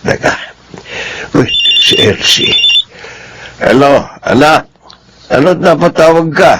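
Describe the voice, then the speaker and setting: A man's voice: fairly high pitch, a bit bright, a little hiss or hum. An actor in a Filipino radio drama playing a humble, devout older father who talks in a fatherly, caring way. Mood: fond, content. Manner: unhurried, fatherly.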